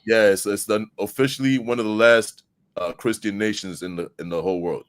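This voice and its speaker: A man's voice: fairly low pitch, fairly even intonation, a little fast, slight background noise. A man from Zambia with a Zambian accent, chatting casually as an interview guest and explaining things with frequent tag questions.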